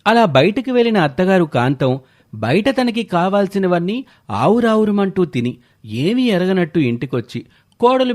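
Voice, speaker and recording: A man's voice: fairly high pitch, darkish tone. A man narrating a scripted moral story as its storyteller.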